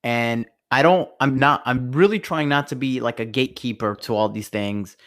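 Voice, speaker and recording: A man who grew up in Italy with Italian as his first language, co-hosting a podcast and telling stories in a lively way, laughing as he goes. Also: mid-range voice, normal speed. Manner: animated storyteller, laughs while telling stories. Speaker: podcast co-host. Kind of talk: casual chat.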